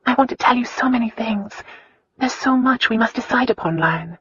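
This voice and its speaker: A woman voicing an earnest, breathy young wife. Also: normal speed, fairly bright tone, no echo. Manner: pleading, breathless, high and emotional. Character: earnest young wife, anxious but loving. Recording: dull, dry audiobook recording.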